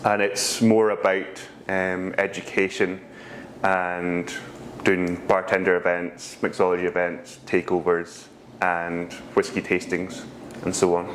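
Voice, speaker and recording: Scottish man, low voice. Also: slight background noise. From Scotland. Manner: relaxed storytelling with frequent ums and pauses. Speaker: guest, whisky brand ambassador. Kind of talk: casual interview answers.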